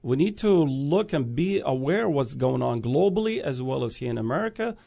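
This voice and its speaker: A man's voice: mid-range voice, animated, normal speed, creaky, warm tone, muffled recording. A man who grew up in Iraq, a guest speaking about prophecy in an earnest, preaching way, often restarting phrases and rising to emphatic peaks.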